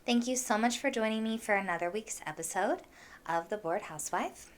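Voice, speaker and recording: A young woman hosting a casual podcast, chatting with a froggy, hoarse voice from allergies. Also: mid-range voice, brisk. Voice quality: froggy, husky voice. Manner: chatty, with many fillers and laughs. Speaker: podcast host. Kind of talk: casual solo podcast chat.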